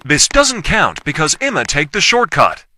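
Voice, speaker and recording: A man's voice: on the high side, wildly swooping pitch, moderate pace, sharp tone, slight background noise. A man giving casual gameplay commentary in short, repetitive declarations. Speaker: gaming video creator.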